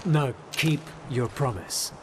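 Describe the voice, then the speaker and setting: A man's voice: medium pitch, takes it slowly, lightly acted. Fantasy game hero male actor: stern, resolute fighter, clipped serious commands, noisy atmosphere behind. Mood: stern, impatient. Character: stern, determined heroic warrior man, terse. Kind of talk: dramatic video game character acting.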